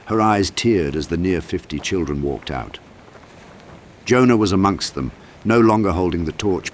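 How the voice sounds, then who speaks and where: A man with a deep, low voice reads a dark fantasy audiobook. His delivery is scripted, measured and dramatic. Faint ambient sound sits behind the voice. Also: slight background noise. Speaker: audiobook narrator. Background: low ambient sound design.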